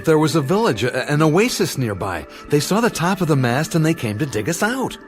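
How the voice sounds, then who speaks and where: A man's voice: fairly high pitch, sing-song, a little fast, faint music behind. A man playing a mild, earnest travelling companion in a radio play. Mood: mildly reproving.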